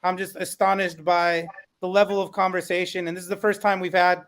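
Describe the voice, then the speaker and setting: A man's voice: high-pitched, little pitch movement, fairly quick, a bit bright. A man co-hosting a podcast panel, moderating in an orderly, complimentary manner.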